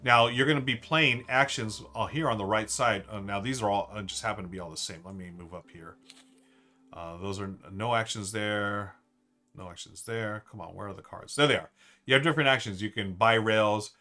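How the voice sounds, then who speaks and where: A man's voice: fairly low pitch, moderate pace, sharp tone. A man hosting a casual live stream, ranking board games in an enthusiastic, rambling way with lots of fillers and restarts. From United States.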